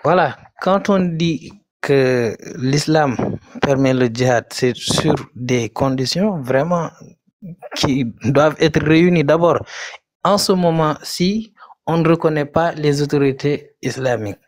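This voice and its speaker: A man from Senegal calling into a live debate, speaking slowly and insistently, repeating words when he is cut off. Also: a little high, no room sound. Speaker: caller. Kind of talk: heated debate.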